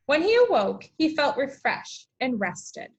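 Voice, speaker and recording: A woman teacher reading aloud and explaining things to young children, with expressive, deliberate emphasis. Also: high voice, lo-fi sound. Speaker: elementary school teacher.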